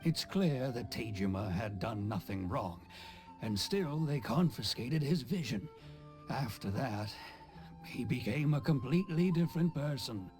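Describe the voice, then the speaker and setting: A man's voice: medium pitch, animated, unhurried, darkish tone, natural voice. A man voicing a worried, respectful villager in a video game, speaking earnestly and a little hesitantly. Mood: troubled. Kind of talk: video game character acting. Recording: music playing behind the voice.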